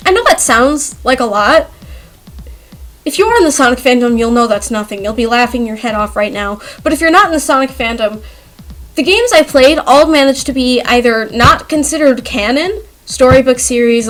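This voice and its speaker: A young adult woman chatting casually in a giggly, animated, self-deprecating way, as if telling stories to viewers. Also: fairly high pitch. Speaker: YouTube artist telling personal stories. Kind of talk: casual rambling chat over speedpaint. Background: background music.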